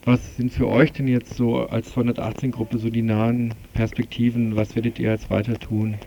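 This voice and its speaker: A man's voice: medium pitch, flat delivery, moderate pace, gravelly, mellow, slight background noise. A man hosting a radio programme, speaking in long sentences as he interviews and moderates. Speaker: radio host. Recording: slightly dull lo-fi radio recording.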